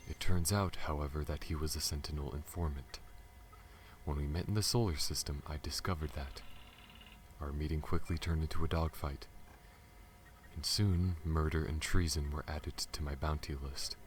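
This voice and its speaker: A man playing a guarded, protective alien smuggler pilot in an ASMR audio roleplay, earnest and halting, speaking to the listener. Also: very deep voice, steady tone. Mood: confessional, grave. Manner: halting, stammers when flustered, earnest. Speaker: voice actor. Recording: audible background noise.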